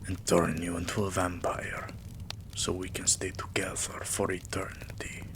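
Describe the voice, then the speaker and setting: A man playing a tender, devoted vampire king in a romantic ASMR roleplay, speaking in a hushed, breathy, soothing way that often slips into a whisper. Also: sits low, completely flat delivery, natural voice. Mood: loving, solemn. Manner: hushed, slow, soothing, affectionate.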